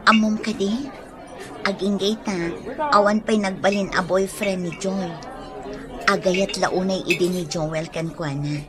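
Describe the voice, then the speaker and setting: A woman's voice: medium pitch, steady tone, measured pace, long pauses, a crowd in the background, lightly acted. An actress playing a teasing, frank young woman who nudges a friend toward romance in a radio drama. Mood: urging.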